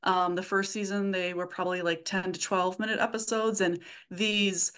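A woman's voice: lowish voice, flat delivery, moderate pace, fairly bright tone, smooth. A woman chatting informally and casually as a guest being interviewed. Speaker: podcast creator, interview guest.

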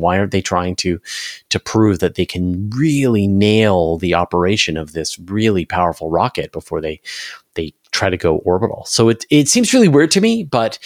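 A man's voice: deep voice, no room sound. A man speaks in a casual interview. He explains with emphasis and animation, and the sound is close and dry. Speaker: interview guest, space channel creator.

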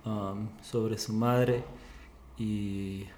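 A man asks questions as a podcast interviewer, slowly and hesitantly, searching for his words. The sound is echoey and roomy, with some light noise behind him. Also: on the low side, steady tone, darkish tone. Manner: hesitant, halting. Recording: echoey room with background noise.

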